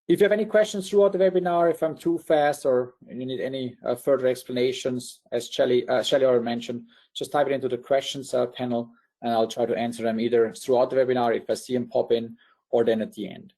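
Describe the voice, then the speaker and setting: Man, Swiss accent, warm mellow tone, middle pitch, webinar presenter, loose conversational delivery. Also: fairly even intonation, fast. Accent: Swiss German. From Liechtenstein. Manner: conversational, many fillers and restarts.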